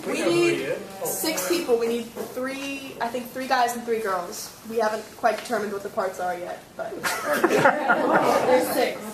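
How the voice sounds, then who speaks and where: A woman's voice: a little high, lively intonation, measured pace, noisy background. A woman making a casual announcement to a group, recruiting volunteers. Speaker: club member recruiting volunteers. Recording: echoey room with crowd chatter.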